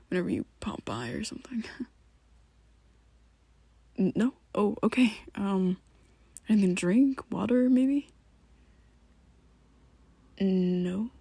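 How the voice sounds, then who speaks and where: A woman's voice: mid-range voice, vocal fry, dark tone, husky, no room sound. A woman voicing a young male vampire best friend in an ASMR roleplay, who talks hesitantly and half-whispers with nervous stammers. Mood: awkward.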